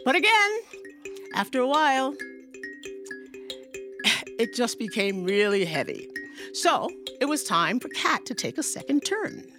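A woman performs a children's tale in a high voice at a slow pace. She leans on rhythmic repetition and playful emphasis, with faint music present. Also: huge pitch swings. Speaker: storyteller. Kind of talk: animated oral storytelling for children.

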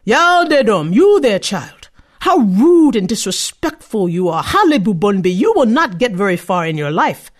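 A stern talking tree scolding a rude child in a firm, loud voice, voiced by a woman storyteller. The pitch stays near her own but the delivery is weighty and reproachful. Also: very animated, measured pace.

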